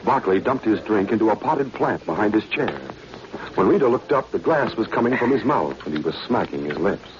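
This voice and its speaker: A man's voice: lowish voice, animated, a little fast, dull audio, lightly acted. An American man playing the narrator of a 1940s radio spy adventure, reading scripted narration. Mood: measured suspense. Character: brisk male narrator of a spy adventure serial. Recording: noisy, band-limited old radio recording.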